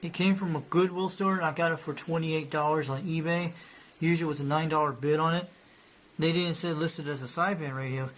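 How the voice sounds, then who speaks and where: Man, casual rambling hobbyist talk, slightly high pitch, faint hiss. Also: a bit bright, poor audio. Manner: rambling, repeats himself, loose grammar. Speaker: hobbyist showing a radio. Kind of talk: casual show-and-tell narration.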